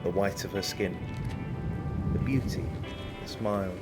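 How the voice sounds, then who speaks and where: A man reading a spoken word poem in a slow, deliberate recital. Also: low-pitched. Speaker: poetry reader. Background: soft guitar music.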